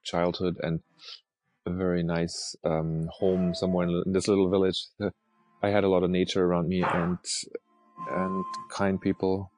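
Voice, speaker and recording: A man from Germany and Norway, an artist answering interview questions in a soft, hesitant way with many fillers and restarts. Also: low voice, level tone, moderate pace, soft background music. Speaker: artist guest.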